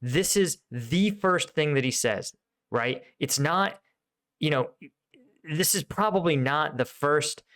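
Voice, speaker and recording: An American man, a military veteran host, gives off-the-cuff reaction commentary in a halting, explanatory way, often checking in with the listener. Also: fairly high pitch, animated, a bit bright.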